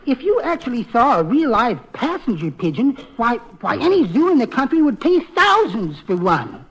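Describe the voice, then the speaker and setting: An actor playing a timid, fussy henpecked husband with a mild, prim, hesitant manner in 1940s radio comedy style. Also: male voice, very high-pitched, big pitch swings, normal speed, fairly bright tone, breathy edge, full character voice. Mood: earnest, fussy. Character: meek, timid henpecked little husband, fussy bird lover. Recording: noisy, dull old radio recording.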